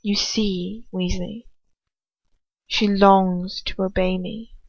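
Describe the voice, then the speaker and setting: A cold, menacing man played by a woman reader murmurs in a hushed, breathy voice. He is slow, possessive and mocking, like a storybook villain savoring his control. The recording is dry and slightly dull. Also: high-pitched, level tone, dry recording, exaggerated voice. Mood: smug. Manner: slow, malicious, condescending.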